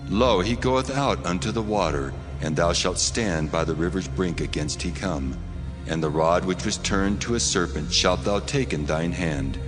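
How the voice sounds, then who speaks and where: Casting: a commanding deity, very low voice, stately and solemn, in huge reverb over prominent music. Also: male voice. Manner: slow, stately, authoritative. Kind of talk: dramatized scripture reading.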